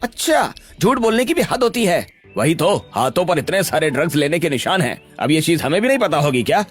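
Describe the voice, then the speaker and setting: A man's voice: a little high, very animated, a little fast, faint music behind. A man voicing a jeering young male street bully in a cartoon story. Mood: mocking.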